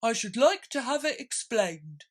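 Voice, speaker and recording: A woman voicing a melancholy, easily offended turtle creature in a storybook, with a slow, drawn-out, plaintive delivery. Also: a little high, very animated, pitched above the performer's own voice. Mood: persistent, confused.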